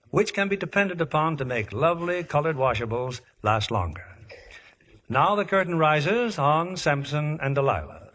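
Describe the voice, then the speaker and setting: A man's voice: on the high side, a bit bright, slight background noise. A man hosting a 1950s radio show, delivering scripted introductions and narration as announcer. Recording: vintage 1950s radio broadcast.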